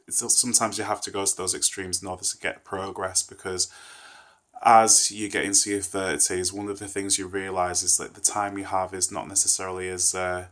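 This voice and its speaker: A man in his thirties sits with a camera and talks his thoughts through in a thoughtful, meandering way. His voice sits deep and stays level, with little rise or fall. A cold leaves him sounding congested and nasal. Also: fairly bright tone. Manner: thoughtful, rambling, earnest. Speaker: vlogger. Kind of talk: casual reflective rant to camera.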